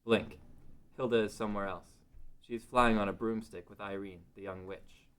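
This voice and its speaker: A man's voice: fairly low pitch, fairly even intonation, moderate pace, a bit bright, clear, resonant tone. A man reading scripted narration as narrator of a table read.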